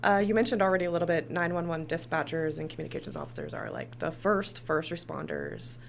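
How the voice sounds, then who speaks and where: A woman's voice: fairly low pitch, sing-song, fast talker, gravelly, a little hiss or hum. A woman hosting a conversational podcast interview, asking questions as a communications director.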